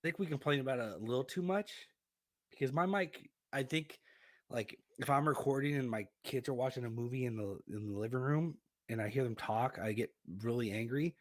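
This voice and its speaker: A man's voice: mid-range voice, a little fast, dry recording. A man who narrates stories, chatting as a podcast guest in a rambling, self-mocking way. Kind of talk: casual podcast chat.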